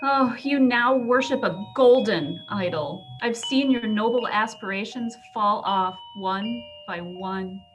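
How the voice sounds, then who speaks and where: A woman playing a gentle, sorrowful but resolute young Victorian fiancée, measured and earnest, in a dramatic reading. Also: medium pitch, soft background music, natural voice.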